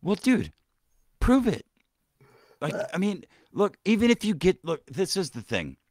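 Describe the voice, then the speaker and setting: A man's voice: high-pitched, huge pitch swings, measured pace, no echo, clean studio sound. A man hosting a podcast, rambling and swearing through casual commentary, with many restarts and trailing-off sentences. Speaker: podcast host.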